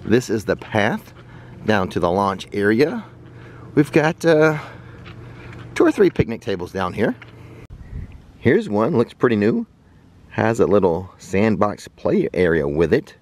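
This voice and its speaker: A man's voice: fairly low pitch, very animated, unhurried, pauses often, a little hiss or hum. A man in his forties with an American accent, chatting upbeat to viewers as he kayaks and tours a riverside park, filming himself at arm's length outdoors. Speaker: travel vlogger. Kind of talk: casual travel vlog narration. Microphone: camera held at arm's length.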